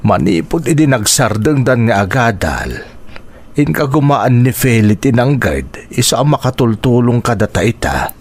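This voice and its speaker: A man acts out an older village father in a radio drama, stern and weighed down by care. He speaks at a middling pitch with an Ilocano Filipino accent. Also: sing-song, a little slow. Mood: stern, troubled.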